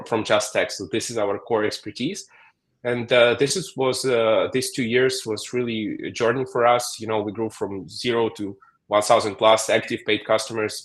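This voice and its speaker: A man's voice: medium pitch, a bit bright. A man speaking as a guest on a video call, often repeating words as he starts a phrase. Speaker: guest expert. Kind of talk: casual interview talk.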